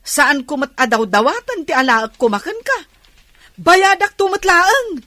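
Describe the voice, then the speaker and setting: Actress as high-pitched, scornful Ilocano auntie. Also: female voice, big pitch swings, measured pace, sharp tone, no room sound, heavily acted. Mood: mocking. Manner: mocking, wheedling, exclamatory. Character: nosy, scornful auntie who mooches money from relatives. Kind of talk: Ilocano comedy radio drama acting.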